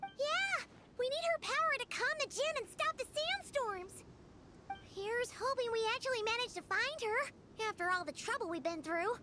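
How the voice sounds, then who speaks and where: A woman voicing a tiny, cheerful, childlike fairy companion in a video game, excitable and squeaky. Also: moderate pace, very noisy. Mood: earnest, chatty. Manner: excitable, refers to self in third person. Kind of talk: animated video game character acting.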